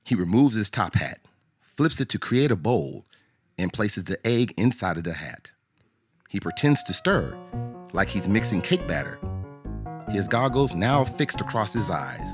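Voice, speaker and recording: A man narrating a scripted radio drama as a storyteller, in a measured, dramatic way. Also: fairly low pitch, big pitch swings, faint music behind.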